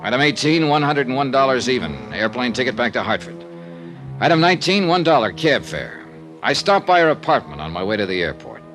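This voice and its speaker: An American actor playing a world-weary, blunt insurance investigator in a 1950s radio detective drama, clipped and matter-of-fact. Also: male voice, mid-range voice, very animated, a little fast, natural voice. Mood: dry narrating. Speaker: lead actor and narrator. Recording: old radio recording with music.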